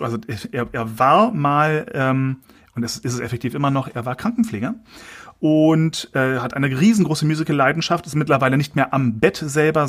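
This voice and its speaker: A man from Berlin, a musical performer, is the guest on a podcast and speaks over a remote connection. He answers casually and hesitantly, filling gaps with sounds and poking fun at himself. His voice has an ordinary middle pitch. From Berlin, Germany. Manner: hesitant, many filler sounds, self-mocking. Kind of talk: casual interview chat. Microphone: remote call audio.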